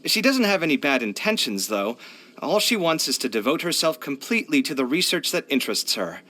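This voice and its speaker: A man's voice: fairly high pitch, fairly quick, heavily acted. A man voicing a proud, earnest young architect for a video game, chatty and candid in his delivery. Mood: thoughtful, admiring. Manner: chatty, candid, expressive, sighing. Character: young male architect, proud, earnest, expressive, hard-luck. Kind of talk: video game character voice lines.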